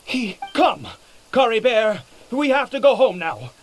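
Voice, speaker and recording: A middle-aged man playing an anguished, fanatical father in a video game, theatrical and intense, with halting dramatic pauses. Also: very high-pitched, very animated, heavily acted. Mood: desperate, pleading. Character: anguished father turned fanatical abyss devotee, male, theatrical. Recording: noisy game stream capture.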